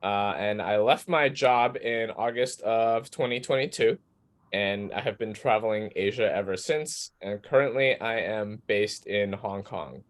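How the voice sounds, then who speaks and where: A man's voice: on the low side, measured pace. A man introducing himself as a panelist on a video call, earnest and hesitant. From suburbs of Philadelphia, US. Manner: earnest, starts lines with "uh". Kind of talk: self-introduction.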